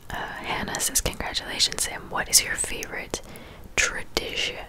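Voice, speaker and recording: A woman in her twenties speaking in a soft, breathy whisper, chatting casually and unhurriedly as an ASMR creator answering viewers. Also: moderate pace. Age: 20s.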